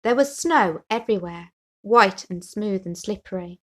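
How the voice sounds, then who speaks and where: A woman narrates a children's storybook. Her voice sits at a medium pitch, and she reads expressively, telling the tale for young listeners. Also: expressive, normal speed. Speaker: story reader.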